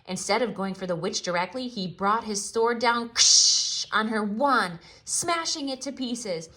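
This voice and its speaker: A woman reads a children's storybook theatrically. Her voice is fairly high and very animated, moving slowly with expressive, dramatic pauses.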